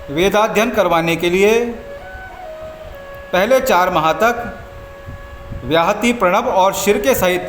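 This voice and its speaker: A man narrating a scripted religious story as a devotional storyteller, in measured, formal phrases with frequent pauses. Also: sits high, fairly bright tone. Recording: echoey voice over background music. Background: background music.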